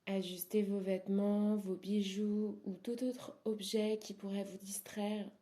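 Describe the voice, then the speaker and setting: A woman guiding a yoga nidra relaxation as an instructor, speaking softly and soothingly in short, unhurried phrases that sometimes trail into breathiness. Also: medium pitch, level tone. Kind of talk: guided relaxation meditation.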